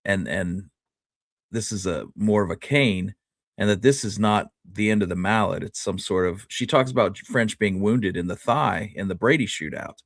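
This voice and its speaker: Low voiced guy cohost, casual talk, kinda halting like he's thinking out loud, dry close sound. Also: no room sound. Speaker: show co-host. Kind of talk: casual conversational commentary.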